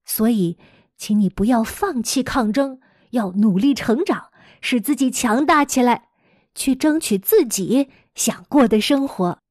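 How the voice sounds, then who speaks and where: A woman's voice: fairly high pitch, expressive, unhurried, darkish tone, dry recording, studio quality. A woman hosting a children's storytelling programme, reading scripted material expressively and talking directly to her listeners with dramatic emphasis. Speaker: host and teacher of a children's storytelling podcast.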